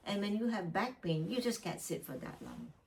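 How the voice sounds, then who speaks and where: A woman's voice: medium pitch, very animated, moderate pace, vocal fry. A woman from Malaysia talking casually and plainly through things step by step, as a solo travel vlogger. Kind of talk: casual packing vlog. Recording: distant mic, slight background noise.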